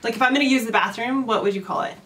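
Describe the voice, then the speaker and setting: A woman's voice: fairly high pitch, very fast, fairly bright tone. A woman in her thirties from Southern California with a Californian accent, hosting a casual chat and asking curious, giggly questions. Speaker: host.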